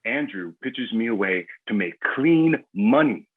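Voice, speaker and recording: An actor playing a cocky, foul-mouthed crime boss with swaggering street talk in a screenplay table read. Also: male voice, fairly low pitch, animated, unhurried, husky, narrow, tinny audio. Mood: boastful, menacing.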